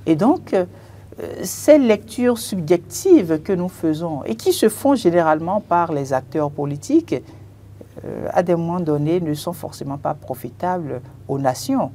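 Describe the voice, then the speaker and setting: Hesitant panelist with a mellow mid-range voice. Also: medium pitch, expressive, a little slow. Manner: hesitant, many fillers and restarts. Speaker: panelist on a political talk show. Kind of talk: TV panel debate commentary.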